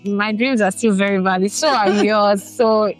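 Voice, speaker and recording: Host: woman, mid-pitched, chatty questions packed with fillers, faint music underneath. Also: fairly even intonation, normal speed, poor audio. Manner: warm, rambling questions with many fillers. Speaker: interview host. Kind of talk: conversational interview.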